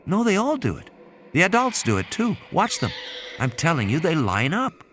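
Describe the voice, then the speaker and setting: A man's voice: a little high, wildly swooping pitch, moderate pace, fairly bright tone, slight background noise, voice pushed up. A man voicing an earnest, observant woman scientist, insistent and curious, in audiobook dialogue. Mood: speculating.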